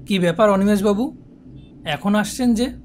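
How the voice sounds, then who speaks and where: High-pitched, pressing office manager acted by a man. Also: moderate pace, a little hiss or hum, lightly acted. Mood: disapproving. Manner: businesslike, formally polite but pressing. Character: brisk, demanding male office manager. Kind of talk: cartoon voice acting.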